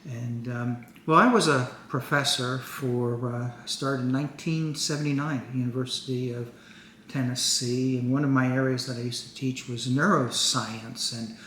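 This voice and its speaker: An older American man talks at home with his wife in a jovial, folksy way, full of chuckles and little asides. His voice sits at an ordinary pitch for a man. The room has a noticeable echo, with faint noise behind him. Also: steady tone, moderate pace, fairly bright tone. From United States. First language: English. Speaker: co-host. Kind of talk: casual couple chat.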